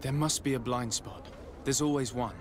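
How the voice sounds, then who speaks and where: A man's voice: medium pitch, steady tone, normal speed, noisy background, close to an everyday voice. A man playing a composed, courteous noble diplomat in a video game, speaking politely and with discretion. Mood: composed, reassuring. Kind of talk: video game cutscene acting.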